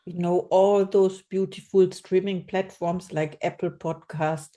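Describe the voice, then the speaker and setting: A woman's voice: fairly low pitch, fairly even intonation, a little slow, mellow. A woman hosting a podcast interview, asking questions and praising her guest effusively. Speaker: podcast host.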